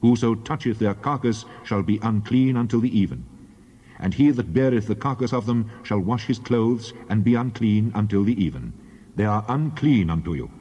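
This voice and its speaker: A man with a low, dark, slightly husky voice reads scripture solemnly. His deliberate, declamatory delivery rings out in a long hall reverb. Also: animated, highs cut off. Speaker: Bible reader.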